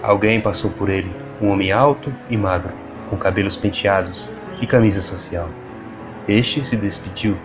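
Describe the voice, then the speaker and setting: A man with a Brazilian accent reading scripted, dramatic fiction narration for an audiobook. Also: on the low side. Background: music.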